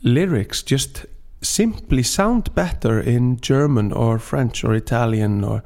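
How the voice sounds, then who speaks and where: A man with an Icelandic accent talking casually as a podcast guest, often pausing to search for words. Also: medium pitch, animated, darkish tone. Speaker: singer-songwriter and storyteller, podcast guest.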